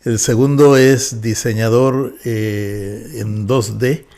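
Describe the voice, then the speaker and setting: A man in his fifties with a Mexican Spanish accent speaks as a pastor on a podcast. He talks in a calm, measured way and pauses often on "eh" between thoughts. His voice sits at an ordinary middle pitch. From northwest Mexico. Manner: measured, with frequent "eh" fillers. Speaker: pastor and guest. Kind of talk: relaxed podcast interview.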